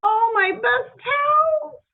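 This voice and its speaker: A woman's voice: extremely high pitch, takes it slowly, gravelly, voice pushed up, heavily acted. A woman voicing an exasperated, easily shocked lady of the house in a storybook, exclaiming dramatically. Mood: aghast. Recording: muffled narrow phone-like audio.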